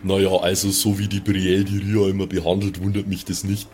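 A man's voice: sits low, level tone, fairly quick, a little mellow, soft background music. A man, chatting casually as a player in an improvised tabletop game. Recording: clear background noise.

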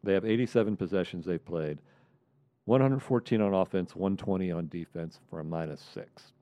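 A man on a sports roundtable giving casual, analytical commentary in a measured way. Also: low-pitched, dark tone, no room sound. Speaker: sports panelist.

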